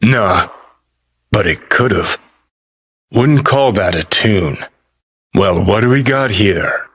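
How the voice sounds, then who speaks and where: Cynical American rocker rebel, video game character, raspy voice, very slow clipped delivery, world-weary, swaggering, foul-mouthed. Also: male voice, fairly low pitch, lively intonation, a bit bright, heavily acted. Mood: sardonic, mocking, then terse, cool. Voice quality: gravelly, husky. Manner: sardonic, clipped, swaggering drawl.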